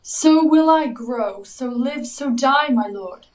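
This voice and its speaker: An earnest young maiden acted by a woman in a Shakespearean audio play. Her highish voice sounds a little away from the mic, formal and respectful yet defiant. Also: slow, recorded from a distance. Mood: resolute. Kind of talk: Shakespearean verse audio drama acting.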